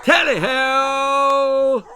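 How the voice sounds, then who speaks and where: A man's voice: extremely high pitch, fairly even intonation, very slow, sharp tone, some chatter behind, slight background noise, voice pushed up, full character voice. A man voicing a pompous, blustering upper-class English country lord for a children's storybook, with hooting old-fashioned exclamations. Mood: excited hallooing.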